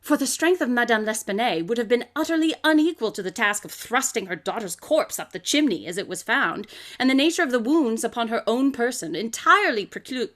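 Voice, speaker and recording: A woman's voice: a little high, expressive, brisk, fairly bright tone. A woman playing a brilliant, eccentric detective in a live radio drama, coolly superior, lecturing in precise, didactic speech. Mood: lecturing, emphatic. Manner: pompous, didactic, precisely articulated. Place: closet or desk at home.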